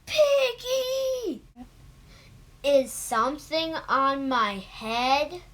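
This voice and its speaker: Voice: sits high, big pitch swings, drawn-out words, fairly bright tone, heavily acted. A child voicing an anxious, easily upset elephant in a picture book read-aloud. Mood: worried.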